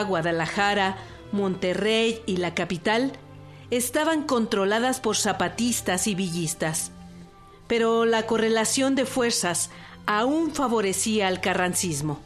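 A woman with a Mexican accent giving scripted documentary narration for a radio production. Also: mid-range voice. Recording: radio production with music bed.

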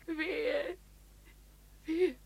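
High-voiced, wailing, grief-stricken foreign woman. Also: steady tone, creaky, no room sound, exaggerated voice. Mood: despairing, crying. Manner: wailing, halting, repeating broken phrases. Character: grieving foreign showwoman mourning her slain tame tiger. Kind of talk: 1950s German radio play acting. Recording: 1950s radio play recording.

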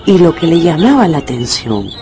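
Expressive woman storyteller over music. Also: deep voice, moderate pace. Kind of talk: storytelling narration for a radio novel.

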